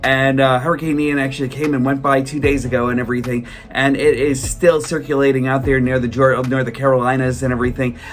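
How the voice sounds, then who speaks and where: Man, hoarse from a cold, casual vlog chat, rambling, mid-pitched voice, faint music underneath. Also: level tone, fast talker, hardly any pauses. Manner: rambling, filler-heavy, many restarts. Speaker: toy collector vlogger.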